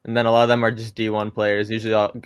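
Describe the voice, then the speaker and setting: Relaxed young man, dry close sound. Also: lowish voice, rapid-fire, smooth, no echo. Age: 20s. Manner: relaxed, rambling, with frequent restarts and fillers. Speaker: guest. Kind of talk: casual podcast chat.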